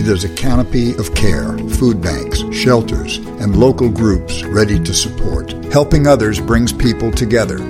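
Calm low male announcer over guitar. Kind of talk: public service announcement.

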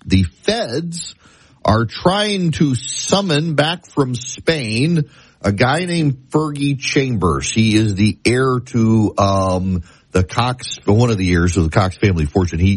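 A male radio host with a low, husky voice, rambling through a casual monologue while stuffed up from a cold. He often breaks off and restarts his sentences. The sound is dry and close to the mic. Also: no echo. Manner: rambling, self-interrupting with restarts. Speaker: radio talk show host.

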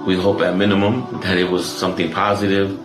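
Low-voiced, calm male community speaker. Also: reverberant, music in the background, poor audio. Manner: measured, soft-spoken, calm. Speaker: speaker at an Islamic center. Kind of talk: address to the community.

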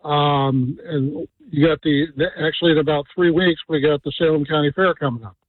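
A man calls in to a radio talk show and rambles through his account in halting phrases full of fillers. His voice sits a little high for a man and comes through a dry, tinny phone line. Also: fairly even intonation, dry recording. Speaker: caller. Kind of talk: phone-in chat. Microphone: telephone.

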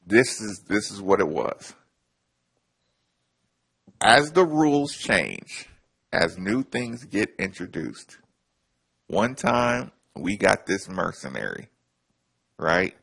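Gravelly, husky male co-host, animated sports storyteller. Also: on the low side, slow pace, long pauses. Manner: animated storyteller, drops to hushed asides. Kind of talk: casual sports talk.

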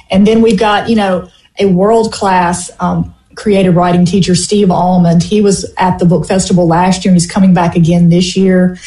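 A woman's voice: medium pitch, dark tone, roomy sound. A woman from the Mississippi Delta with a Southern accent, chatting as a book festival organizer, full of ums and you-knows. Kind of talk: casual radio interview.

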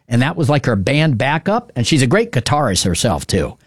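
A man's voice: medium pitch, very animated, a little fast, dry recording. Rambling radio host guy, laughs a lot, dry close studio sound. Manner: chatty, rambling, laughs often. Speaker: radio talk show host. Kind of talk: call-in radio chat.

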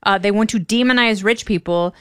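A woman's voice: medium pitch, a bit bright, smooth, no echo, clean studio sound. A woman co-hosting a talk radio show, chatting casually and giving blunt, firm opinions. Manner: blunt, opinionated, quick agreeing replies. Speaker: radio co-host.

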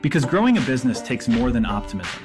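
A man reading a scripted business commercial in a measured, earnest way. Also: mid-range voice, sing-song. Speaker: ad voice-over. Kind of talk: scripted ad narration. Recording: music bed behind voice.

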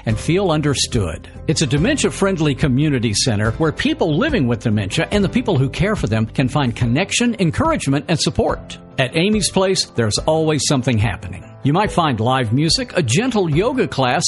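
Radio ad announcer, male, gentle and reassuring, expressive pitch, soft music behind. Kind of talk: scripted radio ad read.

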